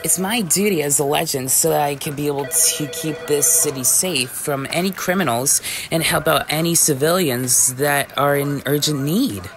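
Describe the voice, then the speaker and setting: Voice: medium pitch, normal speed, over music. A performer with a woman-like voice acting out an easygoing, dutiful werewolf police chief in scripted ASMR roleplay.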